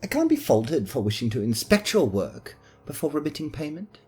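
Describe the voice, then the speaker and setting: A man's voice: fairly high pitch, very animated, moderate pace, darkish tone, husky, soft background music. A man voicing a greedy, haughty nobleman in an audio drama, speaking in a formal, mannered way. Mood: prying. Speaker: voice actor in a fan audio drama.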